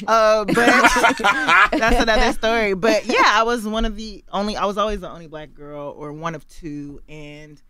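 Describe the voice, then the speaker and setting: A woman from Los Angeles, a guest on a radio interview, telling her story in a candid, animated way. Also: mid-range voice, moderate pace, few pauses, a crowd in the background. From Los Angeles, USA.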